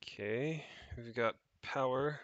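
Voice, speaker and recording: A man's voice: mid-range voice, takes it slowly, a bit bright, dry recording, highs cut off. A man chatting off the cuff on a livestream, rambling and thinking aloud with casual swearing as he tinkers. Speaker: streamer, tech hobbyist. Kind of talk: casual unscripted livestream chat while tinkering. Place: indoors, rented home.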